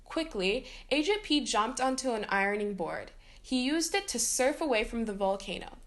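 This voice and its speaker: A woman reading a children's story aloud in an expressive way, acting out the dialogue. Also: fairly high pitch, moderate pace. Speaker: storybook reader.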